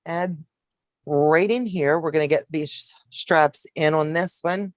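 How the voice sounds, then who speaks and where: A woman in her fifties hosting a sewing livestream, chatting warmly with viewers and explaining each step as she works. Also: deep voice, slow pace, no room sound, clean studio sound. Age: 50s. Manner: homey, calls viewers baby, narrates each step. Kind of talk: casual sewing demonstration chat.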